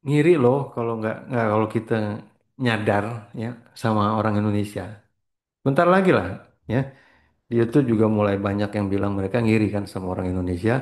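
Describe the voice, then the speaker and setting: A middle-aged man gives a loose, meandering motivational talk in a low-pitched voice. He drifts between thoughts, slips English words into his speech and clears his throat often. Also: a little mellow. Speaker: spiritual trainer.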